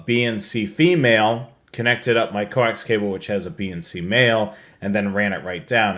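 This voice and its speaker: A man's voice: on the low side, fairly bright tone. A man hosting a live show, presenting products in a casual, conversational walkthrough. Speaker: show host presenting slides.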